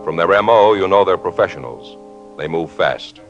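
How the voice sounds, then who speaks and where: Deep, solemn old-time radio narrator, male. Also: expressive, moderate pace. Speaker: radio announcer. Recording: muffled old radio broadcast, music behind.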